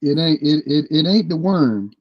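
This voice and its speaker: A man with a high, husky voice that rises and dips all over as he jokes through listener mail on a podcast. The sound is dull and lo-fi. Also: normal speed. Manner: animated, reads listener letters and chat aloud, jokes. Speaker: podcast co-host. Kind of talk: casual podcast banter.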